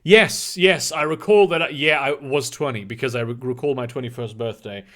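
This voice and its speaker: A man in his twenties whose first language is German, answering chat questions on a livestream in a halting, casual way. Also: mid-range voice, a bit bright. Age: 20s. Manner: halting, restarts often, reads donor questions aloud.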